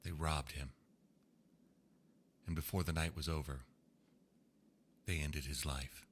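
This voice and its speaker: A man reading old ghost stories aloud in a slow, hushed storytelling manner. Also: extremely low pitch, steady tone, a little hiss or hum. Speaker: narrator. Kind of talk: scripted ghost story narration.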